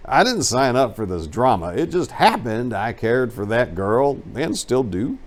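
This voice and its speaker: A man narrating a first-person memoir as a dramatic reading, with emphatic storytelling and some drawn-out phrases. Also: medium pitch, big pitch swings. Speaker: narrator reading a book aloud.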